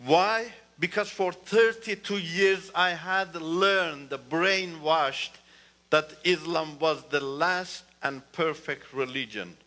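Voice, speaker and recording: Man w/ giving church testimony, bright, kinda high voice, earnest. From Iran. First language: Turkish. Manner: earnest, repeats "you know", rises emphatically. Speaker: guest speaker.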